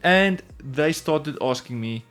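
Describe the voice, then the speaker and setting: A man's voice: fairly high pitch, animated, takes it slowly, a bit bright, faint music behind. A South African man with an Afrikaans accent, his first language being Afrikaans, telling viewers his furniture business story in an earnest, loosely phrased, repetitive way. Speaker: woodworking YouTuber. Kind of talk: casual storytelling to camera.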